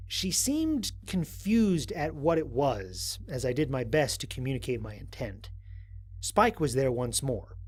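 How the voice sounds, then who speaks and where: A man acts out a curious space explorer dictating his mission log in a sci-fi audio drama. The voice is slightly husky and mid-pitched, with lively swings as he muses in bemusement over what he has found. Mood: measured, reflective. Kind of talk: dramatic first-person mission log narration.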